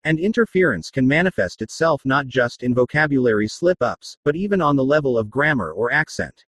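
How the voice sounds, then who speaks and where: A man narrating a scripted documentary report, explaining things clearly as a reporter who speaks English first. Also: mid-range voice, expressive, brisk, fairly bright tone, a little hiss or hum.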